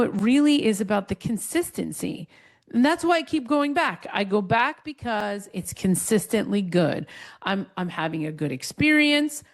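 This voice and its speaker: Brief: woman podcast host, quick and lively storyteller, casual, a middling pitch. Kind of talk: casual interview chat.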